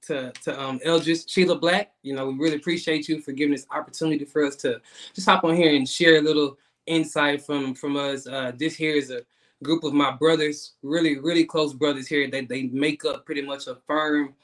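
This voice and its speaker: A man, hosting an online talk show in a casual, halting way with many repeated words and fillers. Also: on the high side, steady tone, a bit bright. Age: 30s. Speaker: guest host.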